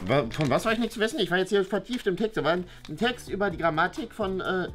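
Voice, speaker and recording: A woman acts out an earnest, rule-abiding schoolboy in a lowish, very lively voice. He is fussy and easily flustered, in a kids' comedy skit with music playing behind. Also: quick pace, natural voice. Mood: earnest, wary. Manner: fussy, hesitant, precise. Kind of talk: exaggerated children's comedy skit.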